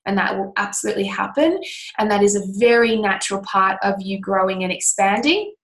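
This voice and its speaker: A woman's voice: mid-range voice, animated, fairly quick, echoey room. A woman with a cold, her voice scratchy and nasal, giving earnest, reflective relationship advice to listeners. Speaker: coach answering listener questions. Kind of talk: casual advice talk.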